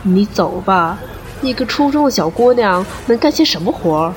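A woman acts a curt shop boss in an audio drama. The voice has a medium pitch and a brusque, dismissive edge, with faint noise behind it. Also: expressive, normal speed, lightly acted. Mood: dismissive, impatient. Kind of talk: Chinese audio drama acting.

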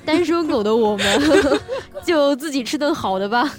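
A woman hosting a bantering radio chat show, playfully teasing and quick to laugh. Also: sits high, animated. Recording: music bed under the talk.

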